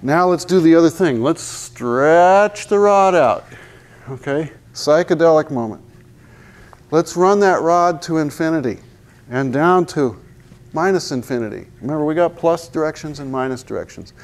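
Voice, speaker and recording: Joking physics lecturer, older man. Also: on the high side. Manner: jokey, asks the class rhetorical questions; walks and points at the chalkboard while talking. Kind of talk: university lecture. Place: large lecture hall. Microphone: lapel mic.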